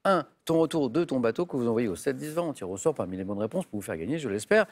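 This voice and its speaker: A man's voice: medium pitch, animated, fast talker. A man hosting a television quiz show in a studio, upbeat and chatty, reading clues with emphasis. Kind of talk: lively game show hosting.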